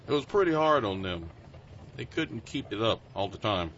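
A man's voice: lowish voice, expressive, normal speed, a bit bright, a little hiss or hum. A man playing a humble, joking blind caretaker. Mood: folksy. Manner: folksy and self-deprecating. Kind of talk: audio drama acting. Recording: muffled lo-fi recording.

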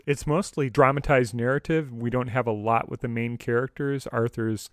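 An American man with a medium-pitched voice co-hosts a podcast discussion. He speaks casually yet in a measured, informative way. The recording is very dry, with no room sound. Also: no room sound. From United States. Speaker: podcast co-host. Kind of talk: casual discussion of a radio drama.

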